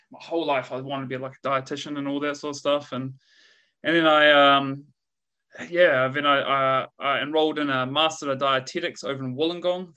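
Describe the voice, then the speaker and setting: A man's voice: mid-range voice, sharp tone, clean tone. A man in his thirties with a New Zealand accent, a guest on a podcast talking over a video call in a laid-back, slangy way. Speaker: guest researcher. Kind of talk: casual podcast interview.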